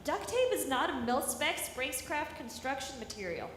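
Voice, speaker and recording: A woman playing a brisk, bossy spacewalker in a live radio drama, clipped and commanding. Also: high-pitched, very animated, a bit bright. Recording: echoey hall, long reverb, faint noise.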